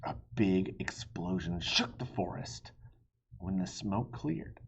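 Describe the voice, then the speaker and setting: A man narrates a children's storybook aloud. His voice is very husky and breathy, with lively, expressive pitch movement. The recording is slightly muffled. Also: slow. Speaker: storybook reader.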